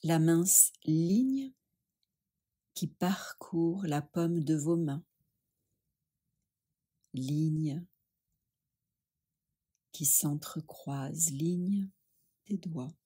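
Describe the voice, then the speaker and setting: A woman leading a guided yoga nidra meditation, speaking gently and soothingly with long pauses. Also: low-pitched, expressive, husky.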